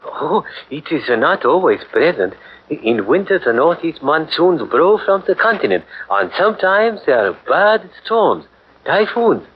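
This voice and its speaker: An actor playing a polite, evasive male photographer in a 1940s radio drama, speaking haltingly with many filler sounds. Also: a little high, sing-song, slight background noise. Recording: dull old radio broadcast.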